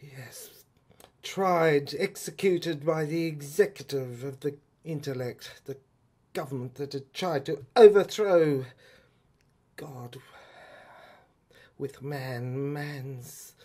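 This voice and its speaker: A man narrating a serial audio drama in a poetic, brooding style, with halting phrases and pauses. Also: fairly high pitch, darkish tone.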